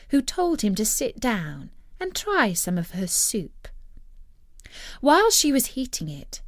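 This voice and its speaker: A woman telling a story as scripted narration, in an expressive and deliberate manner. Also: medium pitch, no room sound, studio quality.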